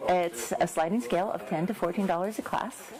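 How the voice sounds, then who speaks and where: A woman's voice: fairly low pitch, brisk, over music, rough recording. A woman instructor answering informally in an interview. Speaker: interviewed exercise class instructor. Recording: crowd behind the voice.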